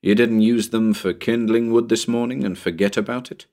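A man's voice: on the low side, animated, normal speed, natural voice. A man voicing a firm, impatient, sarcastic man minding a child in a storybook.

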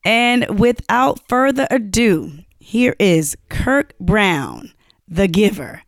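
A woman's voice: mid-range voice, animated, slow pace, no room sound, studio quality. Chatty upbeat woman podcast host. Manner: chatty, upbeat, affirming with repeated "right". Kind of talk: casual podcast chat.

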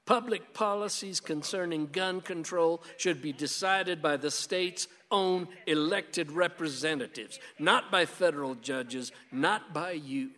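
An actor in a radio play voicing a defiant, gun-owning American husband with a combative, sardonic manner. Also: male voice, on the high side, a little slow, few pauses, pushed and bright. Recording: long hall reverb.

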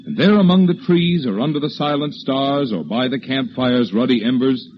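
A man's voice: medium pitch, expressive, moderate pace. A man reading a scripted sponsor message as a 1940s radio show announcer. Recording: muffled, hissy old radio broadcast.

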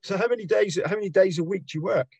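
Man, raspy, dry recording, casual interviewer, halting and rephrasing. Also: sits high, darkish tone, dry recording.

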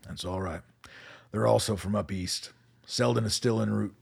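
An actor playing a weary middle-aged colonel in a radio drama, measured and commanding. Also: male voice, on the low side, fairly even intonation, exaggerated voice. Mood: measured, probing. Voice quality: gravelly, husky. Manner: measured, authoritative.

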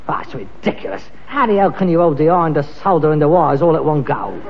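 Pompous touchy london son in an old sitcom, highish voice, muffled echoey recording. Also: male voice, expressive, fairly quick, gravelly, a little hiss or hum. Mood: exasperated, muttering. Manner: pompous, blustering delivery. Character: pretentious, defensive, easily exasperated grown son in a sitcom. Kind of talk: vintage British sitcom acting.

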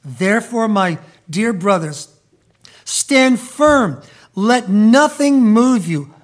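A man whose first language is Italian, preaching a sermon as pastor: he reads scripture emphatically, prays softly, and speaks in a church building. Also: sits high, lively intonation. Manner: slow, emphatic reading building to loud proclamation; quiet, soft prayer voice. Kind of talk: sermon with scripture reading and prayer.

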